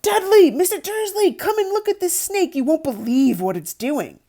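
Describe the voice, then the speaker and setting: A woman voicing an excitable, tattling schoolboy in a storybook read-aloud. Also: extremely high pitch, normal speed, pitched above the performer's own voice. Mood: excited shouting.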